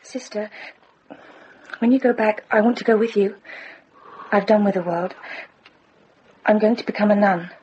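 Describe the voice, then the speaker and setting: A woman's voice: mid-range voice, moderate pace, pauses often, highs cut off, background noise, natural voice. A woman playing an earnest, stubborn young medieval noblewoman in a radio drama, intense and resolute. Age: young adult.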